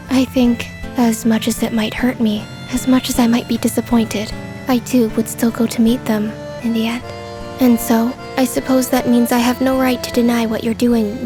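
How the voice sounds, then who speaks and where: A woman voicing a reserved, polite and melancholy young female companion in a manga dub, speaking in a formal, measured, guarded way. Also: on the high side, fairly even intonation, music in the background, lightly acted. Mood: guarded, pensive. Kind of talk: fan manga dub dialogue.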